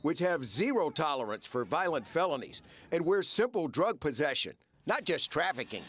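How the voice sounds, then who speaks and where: A man's voice: a little high, sing-song, moderate pace, fairly bright tone, slightly rough. An American man narrating a scripted investigative television news report.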